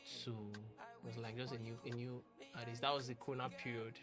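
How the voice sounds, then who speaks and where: Young man, casual earnest vlog talk, rambles with restarts, middle pitch, clear music bed. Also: little pitch movement, brisk. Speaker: music producer.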